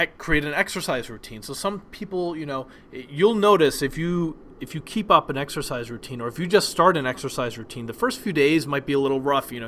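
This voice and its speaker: A young man whose first language is Polish, giving a relaxed presentation lesson with frequent ums and restarts. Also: a little high, slight background noise. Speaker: teacher.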